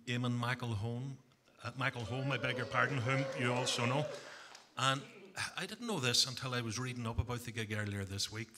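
A man's voice: mid-range voice, bright, forceful tone, a little hiss or hum. An older man with a Northern Irish accent introducing musicians on a concert stage at a stand mic, with many fillers and self-corrections. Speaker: concert compere.

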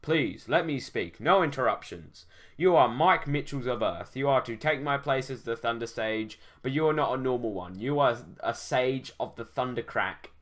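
A man voicing a solemn, commanding old ghostly sage with a slow, portentous delivery, reading from a fantasy story. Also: medium pitch, animated, a bit bright, natural voice. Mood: solemn, urgent.

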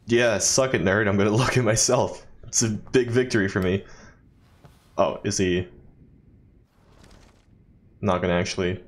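A man's voice: lowish voice, measured pace, long pauses. A young man giving casual, sarcastic commentary as he plays a game, muttering wry reactions. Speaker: let's player.

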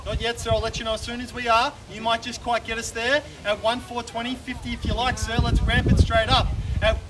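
Australian male auctioneer, 30s, very high pitch, persuasive repetitive bid-calling, recorded outdoors from a distance with loud street noise. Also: little pitch movement. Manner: brisk persuasive auction patter, repeating the figures. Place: outdoors on a suburban street in front of a house. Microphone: camera filming from some distance, no mic seen.

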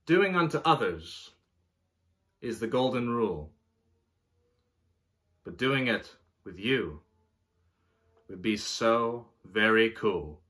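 A man in his twenties giving a mock-dramatic poetry reading in a pompous, deliberate way, recorded in a bedroom a short distance from the mic. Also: lowish voice, animated, a bit bright. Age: 20s. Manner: theatrical, deliberate, pompous delivery. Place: small bedroom, indoors.

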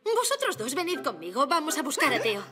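A woman voicing a protective young female bee in a cartoon, earnest and expressive, with a Castilian Spanish accent. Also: high-pitched, fairly quick. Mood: concerned, caring. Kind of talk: cartoon dub acting.

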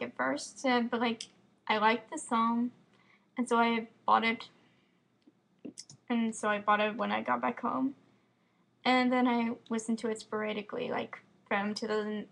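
A woman's voice: a little high, vocal fry, fairly bright tone, low-quality recording. A teenage girl from Florida with an American accent and a slight lisp, chatting casually and rambling into her computer webcam at home. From Florida, United States. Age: teens. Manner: quick, chatty, rambling with many fillers. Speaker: fan vlogger. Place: home room with bookshelves, indoors. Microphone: built-in computer webcam mic.

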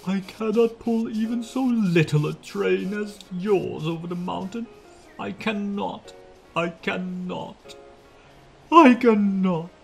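A woman voicing a rusty old male train engine in a children's storybook, sighing and complaining wearily in drawn-out phrases. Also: medium pitch, creaky, faint music behind, pitched above the performer's own voice, poor audio. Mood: weary, glum.

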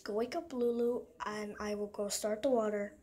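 A woman voicing a calm, polite, fatherly faceless monster in an amateur comic dub. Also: medium pitch, moderate pace, close to an everyday voice. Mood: gentle. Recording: noisy amateur phone recording.

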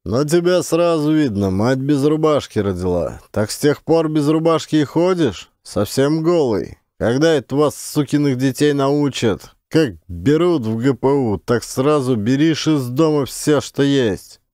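A man voicing a gruff, weary male camp warehouse chief who talks in a mocking, curt way. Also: medium pitch, expressive, unhurried, few pauses, mellow, no room sound, studio quality, pitched above the performer's own voice, natural voice. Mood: scornful.

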